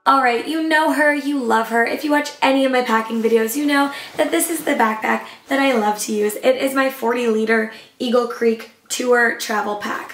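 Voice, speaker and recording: A young woman, slightly hoarse, chatting enthusiastically as a travel vlogger showing what she packs. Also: fairly high pitch, reverberant. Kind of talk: casual upbeat vlog talk to camera.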